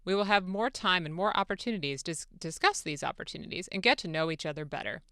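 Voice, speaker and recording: A woman's voice: fairly low pitch, animated, quick pace, sharp tone, smooth, dry recording, studio quality. A woman voicing an eager, deferential foreign president in a formal, polite dramatic reading. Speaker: radio show producer. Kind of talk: dramatic reading of a phone-call transcript. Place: radio studio. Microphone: close studio microphone.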